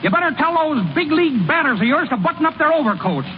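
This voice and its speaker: A man's voice: very high-pitched, big pitch swings. An actor playing a plainspoken small-town American ballplayer and delivery man in old-time radio style, with a folksy, slangy way of talking. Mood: excited, cocky. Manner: folksy, slangy, halting when shy. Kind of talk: 1930s-40s American radio acting. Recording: muffled, noisy old radio broadcast.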